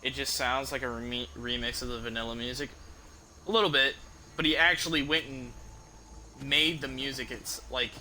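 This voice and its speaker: A man streams a video game with a very bright, forceful voice at a middling pitch. He talks in a casual, sweary way, commenting live on the play, with faint noise and game ambience behind him. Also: fairly even intonation, normal speed, poor audio.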